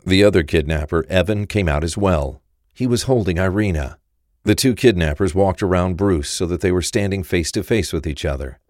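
A man's voice: sits low, normal speed, a little mellow, dry recording, clean studio sound. A man reading scripted narration for a crime thriller story.

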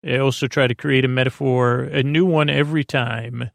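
A man with a creaky voice hosts a sleep podcast, meandering hesitantly through tangents in a bedtime monologue. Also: medium pitch, fairly even intonation, moderate pace, no room sound. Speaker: podcast host.

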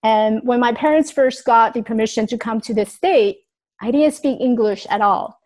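A woman's voice: fairly high pitch, normal speed, darkish tone. Woman from Taiwan telling her story. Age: adult. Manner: earnest, reflective, with small grammar slips. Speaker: speaker sharing her life story. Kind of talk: personal storytelling talk.

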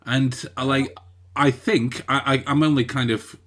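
A man's voice: medium pitch, steady tone, measured pace, a bit bright. A man co-hosting a podcast in casual chat, often stumbling and repeating words as he thinks. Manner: hesitant, many repeated words and restarts. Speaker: podcast co-host.

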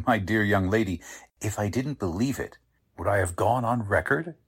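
A man's voice: on the low side, animated, normal speed, slightly rough. A man playing a courteous, self-assured small-town doctor in 1940s radio drama style, genial and a little patronising. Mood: firm, patronising.

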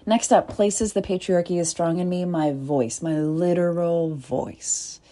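Deep soft-voiced woman, mellow tone, slow thoughtful talk with little whispers. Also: steady tone. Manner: soft, deliberate, with pauses and whispered asides. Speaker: body-work coach. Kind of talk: reflective spoken essay.

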